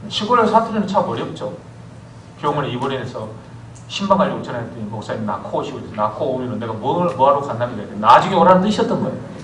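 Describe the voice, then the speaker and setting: A man preaching a sermon as pastor, telling stories with lively swings of voice and calling for amens. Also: medium pitch, quick pace, a little hiss or hum. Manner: animated storytelling preacher, calls for amens. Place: church sanctuary. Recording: echoey church hall from far off.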